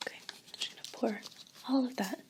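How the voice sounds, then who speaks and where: Lady whispering asmr shop roleplay, kinda high soft breathy voice, gentle and unhurried, right up on the mic. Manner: gentle, unhurried, attentive customer-service patter. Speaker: ASMR performer playing a herb shop clerk. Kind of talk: whispered ASMR role play. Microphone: close binaural microphone. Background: crinkling and rustling paper sounds.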